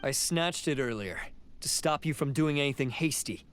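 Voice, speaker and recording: A man's voice: a little high, lively intonation, moderate pace, a bit bright, soft background music. An actor playing a sly male ronin who mocks his boss while double-crossing him. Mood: smug.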